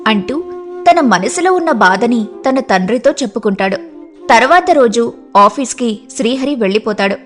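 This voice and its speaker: A woman's voice: a little high, expressive, normal speed, music in the background. A woman narrator reading a scripted moral story in a storytelling style.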